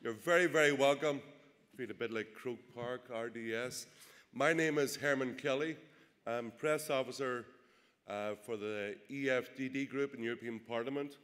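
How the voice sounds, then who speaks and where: A man's voice: mid-range voice, fairly bright tone. Derry man speaking in a reverberant hall. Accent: Derry, Northern Irish. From Northern Ireland. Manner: rousing, rhetorical questions, frequent "uh" pauses. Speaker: political speaker. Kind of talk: public speech at a rally. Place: large event hall. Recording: echoey hall with long reverb.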